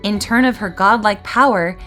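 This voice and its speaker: A woman playing an earnest, excitable, bookish fairy scholar who lectures about magic in a scripted roleplay. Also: mid-range voice, moderate pace, close to an everyday voice. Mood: storytelling, grand. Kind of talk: scripted roleplay voice acting with storytelling. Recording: music behind the voice.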